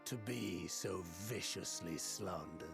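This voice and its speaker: An actor playing a sly, proud fiend of the void in a fantasy game, with a gravelly voice and a deliberate, grandiose manner. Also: male voice, deep voice, exaggerated voice. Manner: slow, deliberate, archaic and grandiose. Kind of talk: theatrical fantasy game acting. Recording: game music behind the voice.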